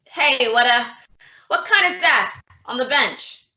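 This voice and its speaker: A woman's voice: high-pitched, expressive, slow pace, bright, forceful tone, exaggerated voice. A woman playing a brash, evasive man who stonewalls questions in a student radio drama. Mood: gawking. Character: evasive, defiant male lab owner. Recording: echoey, dull lo-fi room recording.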